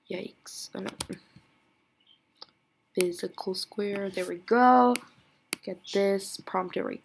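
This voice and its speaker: A young woman giving a casual screen-recorded software tutorial, chatty and giggly, thinking aloud with a voice lower than usual today. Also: creaky, slight background noise. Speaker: tutorial creator.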